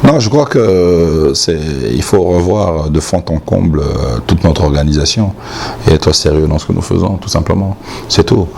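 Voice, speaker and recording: A man's voice: sits low, moderate pace, creaky, darkish tone, slight background noise. A man with a Congolese French accent giving outspoken, emphatic opinions in a sports interview, often repeating points for stress. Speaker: sports commentator being interviewed.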